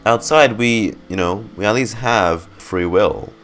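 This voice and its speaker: Male game streamer, low voice, offhand conversational reactions, music faintly behind. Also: unhurried, a bit bright. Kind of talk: casual live reaction commentary.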